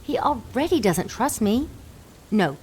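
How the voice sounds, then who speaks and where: A woman's voice: a little high, big pitch swings, measured pace, a little mellow, husky, full character voice, rough recording. A woman playing a coy, scheming and seductive demon woman in an audio drama. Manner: coy, teasing, commanding.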